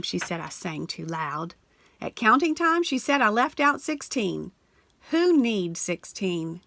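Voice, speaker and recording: A woman reading a children's picture book aloud in an animated storytelling way, slowing down and sinking low on the repeated refrain. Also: gravelly. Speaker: storybook reader.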